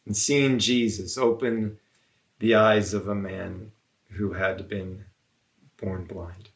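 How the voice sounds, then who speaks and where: A man reading a story aloud to children as a religious brother, in measured phrases with frequent pauses. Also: on the low side.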